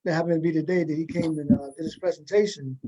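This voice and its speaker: Male talk show host, slightly high voice, casual interviewing, conversational, repeats phrases. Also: steady tone, fast, warm tone, rough recording.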